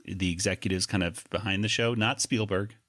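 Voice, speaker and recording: Man, low voice, casual discussion, hesitant careful phrasing. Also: fairly even intonation, a little fast, fairly bright tone. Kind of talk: casual conversational interview or discussion.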